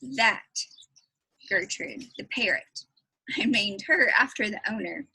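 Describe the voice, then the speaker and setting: A woman voicing a shy, hesitant male pet shop clerk in a storybook, mumbling and halting. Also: fairly high pitch, expressive, gravelly, pushed and bright, slight background noise, heavily acted, rough recording. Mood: awkward.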